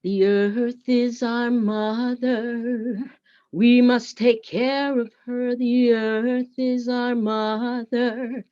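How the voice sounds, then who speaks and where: A woman's voice: on the high side, level tone, drawn-out words, mellow, muffled recording. A woman giving a simple, repeated chant in a sung style.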